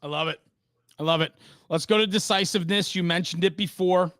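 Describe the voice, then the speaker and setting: High-pitched man hosting, dry sound. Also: brisk, a bit bright, no echo. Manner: informal, teasing, direct. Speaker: podcast guest host. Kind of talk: casual live show banter.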